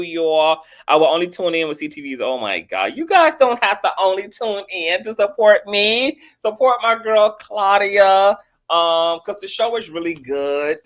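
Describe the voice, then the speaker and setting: A man's voice: on the high side, fairly bright tone. Sassy man hosting a livestream. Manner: sassy, calls everyone girl and honey. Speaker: host of a commentary livestream. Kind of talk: casual chat with viewers.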